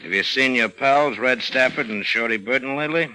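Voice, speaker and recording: An actor playing a dry, needling homicide lieutenant, sardonic and slyly suspicious, in an old-time radio crime drama. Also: male voice, medium pitch, a little fast, sharp tone. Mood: probing, deadpan. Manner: sardonic, probing. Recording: noisy old radio recording.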